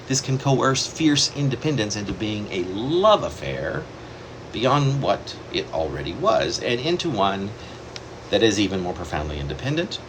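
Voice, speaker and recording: A man reading his own poem aloud in a measured, reflective way, pausing deliberately between phrases. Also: lowish voice. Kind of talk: poetry reading. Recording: steady air-conditioner hum behind the voice.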